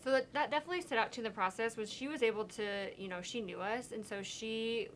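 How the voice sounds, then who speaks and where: A woman's voice: on the high side, fast, fairly bright tone, slight background noise. A woman, an associate athletic director, answering interview questions earnestly with many fillers.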